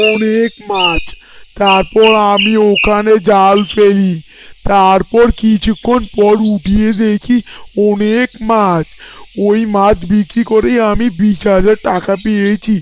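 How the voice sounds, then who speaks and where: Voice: medium pitch, natural voice. An actor playing a poor, pious fisherman and family man in a moral story drama, speaking humbly and devoutly. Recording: noisy outdoor recording with birdsong.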